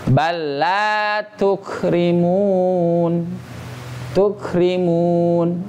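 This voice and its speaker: Slow-paced man teaching Quran recitation. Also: high voice, steady tone, darkish tone, slight background noise. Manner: informal, patient explaining with check-in questions. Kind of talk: interactive tajwid lesson with recited examples. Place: small study gathering in a private home.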